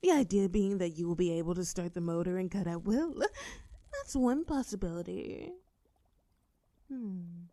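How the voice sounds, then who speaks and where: A woman's voice: lowish voice, moderate pace, long pauses, mellow, no room sound, natural voice. A woman playing a gleefully cruel female mad scientist in a horror audio roleplay, speaking theatrically in precise, formal phrases. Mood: musing, excited.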